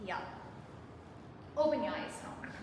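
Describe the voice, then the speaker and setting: A high-pitched woman running an interactive workshop, asking the group questions and talking them through exercises. She is heard from a distance in a big echoey hall, with faint noise underneath. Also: animated, slow pace, darkish tone. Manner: engaging, asks the audience questions, leads exercises. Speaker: workshop presenter. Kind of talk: interactive self-care workshop. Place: large hall with an audience.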